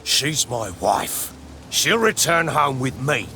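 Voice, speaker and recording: A man playing an anxious, possessive middle-aged husband in a video game, insistent and measured. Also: medium pitch, very animated, noisy background. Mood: stern concern. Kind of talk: dramatic video game acting. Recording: music behind the voice. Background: game music.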